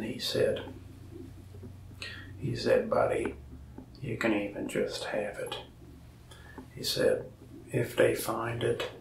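A young man whispers his way through a soft-spoken ASMR roleplay as a shopkeeper, his low voice heavy with gravelly fry. He talks in slow, rambling, folksy asides. The sound is roomy and a little distant from the mic. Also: a little hiss or hum. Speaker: shop salesman in a roleplay.

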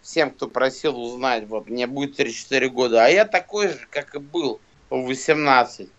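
A man's voice: mid-range voice, normal speed, a bit bright. A man in his thirties chatting casually on a video call from a small room, rambling and swearing with lots of fillers. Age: 30s. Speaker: rapper and guest. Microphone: phone camera close to face. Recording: dull lo-fi video call audio.

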